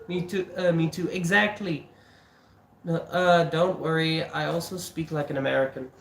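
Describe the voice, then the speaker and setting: A man's voice: fairly high pitch, fairly even intonation, moderate pace, vocal fry, a bit bright, roomy sound, a little hiss or hum. A stuffy-nosed South African man hosting a live cooking stream, chatting casually with viewers and swearing now and then. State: stuffy nose. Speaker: livestream cooking host.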